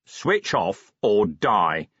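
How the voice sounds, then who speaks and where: Man playing a gruff, weary police commander. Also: mid-range voice, big pitch swings, normal speed, a bit bright, no room sound, lo-fi sound. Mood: irritated, suspicious. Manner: growling, blunt, demanding.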